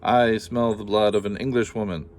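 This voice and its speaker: A man voicing a huge, bellowing, man-eating male giant with a booming, gloating chant. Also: little pitch movement, moderate pace, a little hiss or hum, lightly acted. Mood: menacing.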